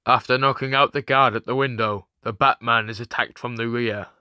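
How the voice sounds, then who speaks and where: A man's voice: mid-range voice, fairly even intonation, moderate pace, bright, forceful tone, no room sound, clean studio sound. A man reading scripted, dramatic comic book narration as the storyteller. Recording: music clearly behind the voice.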